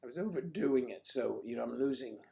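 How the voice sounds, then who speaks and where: Hoarse older man joking with viewers. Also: mid-range voice, expressive, a little fast, a little hiss or hum. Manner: teasing and flirty, often drops to a soft mutter. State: hoarse, losing his voice. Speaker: livestream host. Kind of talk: casual livestream chat with viewers.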